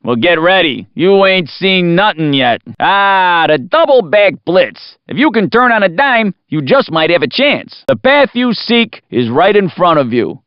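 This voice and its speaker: An actor playing a folksy, wisecracking American announcer in a racing video game, with showy scripted commentary. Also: male voice, high-pitched, very animated, unhurried, few pauses, smooth, no echo. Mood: cheeky, upbeat, then hyped, teasing. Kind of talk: scripted radio-DJ style race commentary. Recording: muffled, lo-fi game audio.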